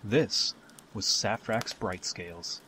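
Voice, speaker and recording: A man narrating a scripted fantasy story as the storyteller, with dramatic, deliberate phrasing. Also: on the low side, sharp tone, slight background noise.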